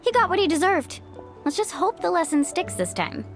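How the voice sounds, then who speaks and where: A woman's voice: extremely high pitch, very animated, moderate pace, full character voice. A woman playing an upbeat, encouraging young woman warrior in an anime game, with a youthful, confident voice. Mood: smug. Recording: music behind.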